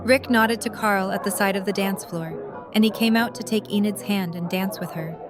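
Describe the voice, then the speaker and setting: A woman's voice: medium pitch, normal speed. Narrator: woman, mid-range voice, scripted story narration for audio drama, music underneath. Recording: music and party chatter behind. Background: music and crowd chatter.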